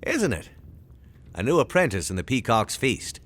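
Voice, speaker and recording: An actor playing a proud, stubborn aristocratic husband and hunter in a period audio drama, lordly and emphatic. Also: male voice, medium pitch, big pitch swings, moderate pace, fairly bright tone. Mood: proud, genial.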